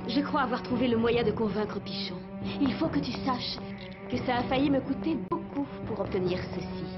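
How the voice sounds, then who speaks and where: Female rebel spy, actress, high voice, confident and dramatic, cartoon dub, loud music behind. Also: lively intonation, normal speed, lightly acted. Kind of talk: French cartoon dubbing. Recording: dull lo-fi TV audio, loud music.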